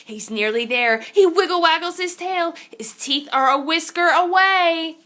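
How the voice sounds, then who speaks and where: A woman's voice: very high voice, unhurried, sharp tone. A woman reading a children's picture book aloud in a theatrical, suspenseful way, drawing out her exclamations. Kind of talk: animated children's story read-aloud.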